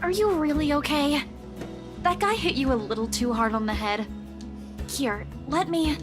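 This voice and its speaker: A woman's voice: high voice, normal speed, natural voice. An actress playing a flustered, caring young woman with a scolding streak in a visual novel, with music behind her. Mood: scolding, concerned. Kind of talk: visual novel game dialogue.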